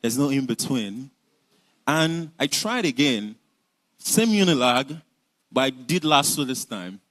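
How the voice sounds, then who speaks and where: A man's voice: mid-range voice, expressive, measured pace, a bit bright. A young Nigerian man with a Nigerian accent, telling his life story in an earnest, reflective way. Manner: earnest, reflective, with light laughs. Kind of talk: personal storytelling talk.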